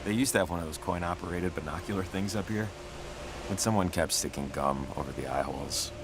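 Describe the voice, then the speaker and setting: An actor playing a chatty, excitable young man in a video game, rambling and hesitant in a casual way. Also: low voice, fairly quick, noisy background, close to an everyday voice. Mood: wry, enthusiastic. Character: chatty, excitable young man, goofy local believer in ghost stories. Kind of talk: naturalistic video game dialogue.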